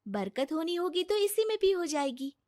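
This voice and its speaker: A woman voicing a gentle, earnest young daughter-in-law and new mother in a story. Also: very high voice, sing-song, normal speed, no room sound, clean studio sound, pitched above the performer's own voice. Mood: polite, content.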